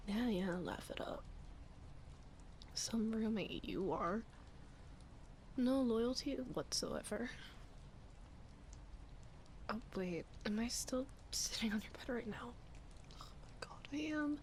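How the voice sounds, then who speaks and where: Woman acting as a sleepy young female roommate, higher-pitched, slow, breathy whispers, hesitant, playful, intimate ASMR roleplay, ambient noise behind. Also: animated, a little mellow. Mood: sheepish, apologetic. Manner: hesitant, halting, breathy murmuring with sighs. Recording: clear background ambience noise. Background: rain ambience.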